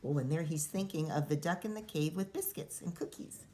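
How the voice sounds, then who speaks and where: A woman reading a rhyming picture book to children as their teacher, expressive, with casual explanatory asides. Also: low voice, fairly quick.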